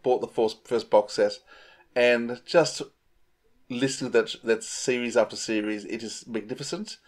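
A man's voice: mid-range voice, darkish tone. A middle-aged man co-hosting a podcast over a video call, casually and emphatically recommending things he enjoys. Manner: enthusiastic, emphatic. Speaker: podcast co-host. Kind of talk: casual chat, enthusiastic reviewing. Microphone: earphones on a video call.

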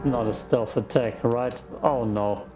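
A man's voice: medium pitch, fairly even intonation, slow pace, over music. A man with an Austrian accent, a native German speaker, giving casual muttering commentary as he plays a game. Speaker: gaming streamer. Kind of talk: casual let's play commentary.

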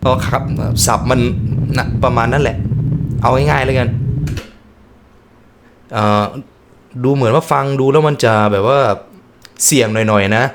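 Male video host, mid-pitched, casual reaction commentary, thinks aloud and self-corrects, clear rumbly background noise. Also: unhurried, pauses often. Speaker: video host reacting to and translating an English horror video. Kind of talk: casual live commentary with on-the-fly translation.

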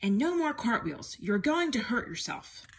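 A woman's voice: fairly high pitch, sing-song, normal speed, slightly rough, pitched above the performer's own voice, exaggerated voice. Woman acting out an exasperated, sleepy scolding child. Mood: weary scolding. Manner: shushing, insistent, scolding. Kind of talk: storybook character acting.

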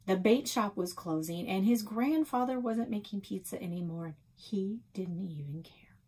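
Storyteller: middle-aged woman, husky medium-pitched voice, reading a children's novel aloud indoors. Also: moderate pace, a little mellow. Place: small office, indoors.

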